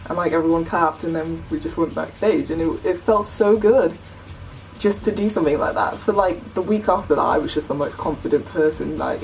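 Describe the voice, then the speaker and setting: A young woman with a low, deep voice talks earnestly and chattily to her camera, scattering "like" and "you know" through her sentences. She sits a little back from the mic. A faint hiss hangs under her words. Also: creaky, darkish tone, far from the mic, rough recording. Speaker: vlogger. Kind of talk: casual chat to camera.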